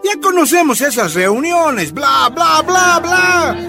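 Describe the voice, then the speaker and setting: Heckling miner, man, impatient, very high voice, animated, radio drama. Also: slow pace, creaky, over music, full character voice. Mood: scornful. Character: scoffing, impatient male union miner heckling.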